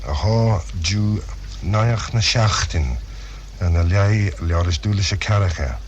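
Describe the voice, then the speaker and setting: A man reads a radio news bulletin in a slow, formal way. His voice is on the low side. A faint hiss runs underneath, as on an old broadcast. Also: muffled recording. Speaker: news reader.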